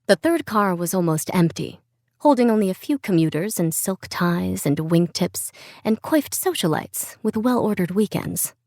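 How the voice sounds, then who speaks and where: A woman reading scripted literary fiction as an audiobook narrator, with measured, expressive storytelling. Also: fairly low pitch, dry recording, clean studio sound. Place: dry studio.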